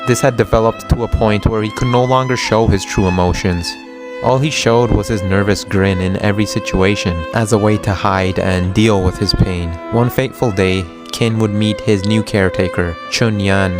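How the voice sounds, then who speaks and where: A man's voice: fairly low pitch, steady tone, moderate pace. A man reading a scripted story recap as narrator, with guitar music behind him.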